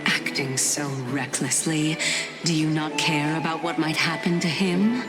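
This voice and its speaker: A woman voicing a calm, enigmatic female titan in a fantasy video game, speaking in a detached, probing way. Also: low voice, measured pace. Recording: music loud behind the voice.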